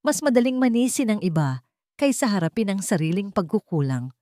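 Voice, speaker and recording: A woman's voice: mid-range voice, sing-song, fairly quick, mellow, no echo, clean studio sound. A woman reading scripted dramatic fiction aloud as a story narrator.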